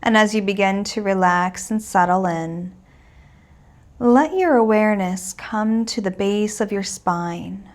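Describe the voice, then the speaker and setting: Slow-paced woman guiding a gentle meditation. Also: medium pitch. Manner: soothing, unhurried, reassuring. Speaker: meditation and yoga teacher.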